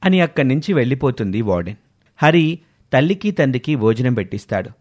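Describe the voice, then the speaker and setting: A man's voice: mid-range voice, big pitch swings, quick pace, darkish tone. A man reading a scripted story as its narrator.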